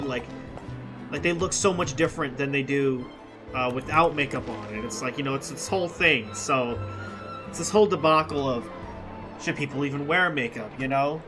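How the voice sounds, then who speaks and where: A man giving casual, rambling gameplay commentary as a streamer, slangy and quick to react. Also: a little high. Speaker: video game streamer. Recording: faint game music behind.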